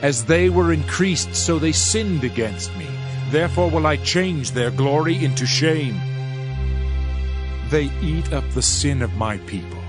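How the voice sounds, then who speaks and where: Solemn prophet over music. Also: male voice, on the high side, expressive. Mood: stern, grave. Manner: solemn, declamatory, measured. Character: Hosea, solemn male Old Testament prophet. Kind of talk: dramatized King James scripture narration. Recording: music under the voice.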